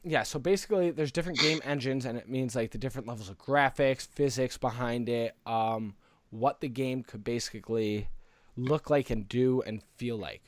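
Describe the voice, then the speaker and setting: A man's voice: medium pitch, moderate pace. A man co-hosting a casual gaming podcast, talking in a conversational, opinionated way as he explains his views. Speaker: podcast co-host.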